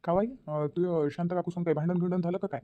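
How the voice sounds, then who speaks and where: A woman acts out an easygoing village man from a family cartoon. The voice sits low and moves briskly, with a Varhadi accent. He sounds mild and agreeable, and the recording is a little dull. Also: steady tone, lightly acted. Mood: curious. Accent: Varhadi Marathi of Vidarbha, India. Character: mild, agreeable village men, father and grown sons. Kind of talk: Marathi family cartoon story acting.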